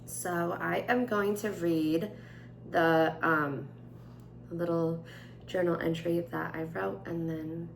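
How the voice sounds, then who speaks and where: Young woman vlogger, raspy and worn, gravelly low voice, chatty with lots of fillers, echoey home room. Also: steady tone, takes it slowly, slight background noise. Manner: chatty with frequent fillers, gentle and candid. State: tired, voice strained. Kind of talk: casual chat and journal reading. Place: indoors at home, at a table. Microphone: camera on tripod.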